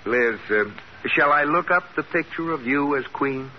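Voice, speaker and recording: An actor playing a firm, skeptical, exasperated husband in a 1940s-style radio sitcom, reproving and deliberate. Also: male voice, on the high side, close to an everyday voice. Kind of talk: 1940s radio situation comedy acting. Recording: noisy muffled old radio recording.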